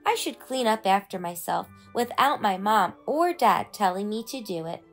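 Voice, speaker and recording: A woman's voice: mid-range voice, expressive, normal speed, faint music behind, lightly acted. A woman voicing an eager, earnest young boy in a children's storybook read-aloud. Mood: proud. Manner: eager, proud, explaining earnestly. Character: excitable, earnest young boy learning martial arts.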